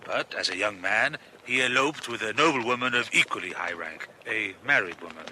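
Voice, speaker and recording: French gentleman rogue, French accent, radio drama, eager, theatrical, sharp ringing voice, faint noise. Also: lowish voice, expressive, moderate pace, poor audio. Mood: intrigued. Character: jovial, proud French reformed master criminal on holiday.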